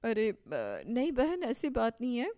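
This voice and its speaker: A woman's voice: fairly high pitch, expressive, a little slow, warm tone, dry recording, studio quality. A woman playing an evasive older friend in a cartoon story, hesitant and politely placating. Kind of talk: Hindi cartoon story dialogue.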